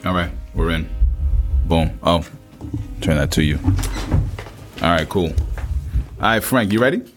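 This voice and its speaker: A man's voice: low-pitched, animated, takes it slowly, over music. A man co-hosting a podcast, speaking in an informal way. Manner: informal, slangy. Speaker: podcast co-host. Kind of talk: casual banter and scripted narration.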